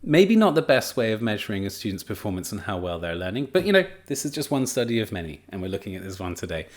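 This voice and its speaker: Male teacher trainer, young adult, lowish voice, casual enthusiastic lecture delivery. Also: big pitch swings, fast talker. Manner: chatty, enthusiastic, frequent fillers and restarts. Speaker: teacher trainer presenting a study.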